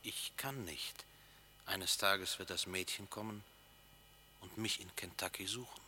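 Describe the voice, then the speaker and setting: An actor playing a brooding, dreamy lover in a German radio play, intimate and lyrical, often close to a whisper. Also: male voice, deep voice, measured pace, sharp tone, a little hiss or hum, full character voice. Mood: melancholy, resigned. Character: brooding, dreamy male lover speaking in dark poetic images. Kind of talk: German radio play acting, poetic and hushed.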